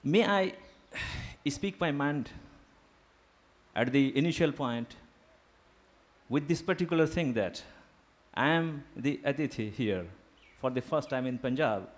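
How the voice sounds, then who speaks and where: A man whose first language is Urdu, giving a formal seminar lecture in a deliberate academic manner, pausing with fillers. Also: medium pitch, expressive. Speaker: guest lecturer. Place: seminar hall.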